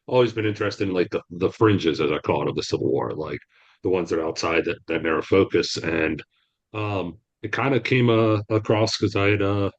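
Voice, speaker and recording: A man talking as a guest author on a podcast in a rambling, halting way, with frequent restarts. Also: sits low, dull audio. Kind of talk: casual interview answers.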